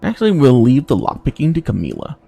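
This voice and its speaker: A man's voice: mid-range voice, wildly swooping pitch, fast talker, creaky, dark tone, slightly rough. A man giving casual playthrough commentary on a game, muttering to himself as he decides. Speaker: gaming video host. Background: game music.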